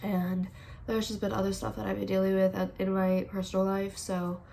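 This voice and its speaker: A woman's voice: medium pitch, level tone, moderate pace, gravelly, warm tone. A woman with a stuffy, congested voice, chatting casually as she gives a tarot reading to her channel's viewers and thinks aloud as she goes. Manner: chatty, thinks aloud, repeats "all right". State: congested, sniffling. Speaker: tarot reader, channel host.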